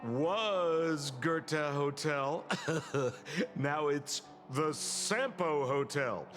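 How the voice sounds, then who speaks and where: A man's voice: on the high side, very slow, fairly bright tone. A man voicing a sly, smug, theatrical con man who runs a hotel in a video game, drawling his words. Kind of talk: acted video game dialogue. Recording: reverberant, with music behind.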